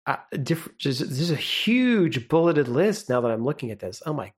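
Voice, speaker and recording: A young man co-hosting a podcast in casual chat, relaxed and rambling, laughing often. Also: medium pitch, moderate pace, slightly rough. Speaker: podcast co-host.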